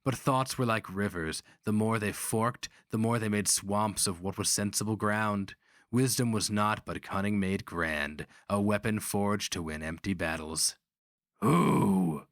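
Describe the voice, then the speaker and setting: A man's voice: fairly low pitch, a little slow, a bit bright, dry recording, clean studio sound. A man giving a grave, theatrical reading of literary fantasy as the story's narrator.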